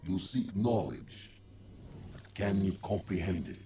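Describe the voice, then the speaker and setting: An actor playing a deep-voiced combat machine in a game, speaking in terse, deliberate phrases. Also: male voice, lively intonation, warm tone. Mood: cryptic warning.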